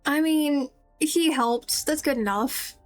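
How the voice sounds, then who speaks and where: A woman's voice: sits high, slow pace. A woman streamer giving casual, chatty, musing commentary on a game with its music behind her.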